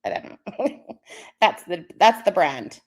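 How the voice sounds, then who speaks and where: A woman's voice: on the low side, big pitch swings, slow pace. A woman chatting with stream viewers, laughing often and a little wheezy. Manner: laughs often, reads and answers the chat. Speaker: livestream co-host. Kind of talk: casual chat with stream viewers.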